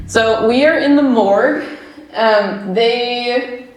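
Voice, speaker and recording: A woman's voice: fairly high pitch, slow. Chatty young woman in an echoey basement. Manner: chatty, rambling, giggly, many fillers. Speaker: medium, paranormal investigator. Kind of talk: casual chat on a ghost hunt. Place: old basement room with echo.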